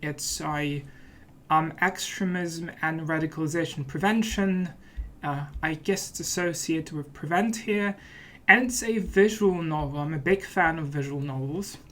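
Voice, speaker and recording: A man's voice: a little high, a little slow, fairly bright tone, a little hiss or hum. A man hosting a let's play, giving casual commentary in a playful, mock-serious manner.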